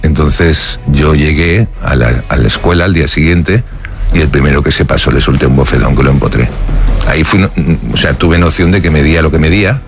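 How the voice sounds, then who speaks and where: A man's voice: very deep voice, brisk, over loud music. A man from Spain in his sixties, telling childhood memories in a casual, hesitant way with many restarts and fillers. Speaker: interviewee. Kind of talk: casual reminiscing.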